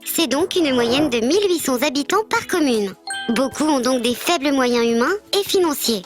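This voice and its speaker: A woman's voice: sits high, animated, moderate pace, soft background music. A woman voicing a friendly bird mascot who presents scripted civics explainers in a didactic, structured way. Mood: instructive, friendly. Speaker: presenter of an educational programme. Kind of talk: scripted educational narration.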